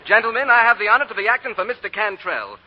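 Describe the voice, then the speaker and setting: An actor playing an eager, hot-headed young Southern gentleman in a 1940s radio drama. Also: sits high, very animated, quick pace. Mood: earnest, indignant. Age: young adult. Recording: muffled 1940 radio broadcast with crowd.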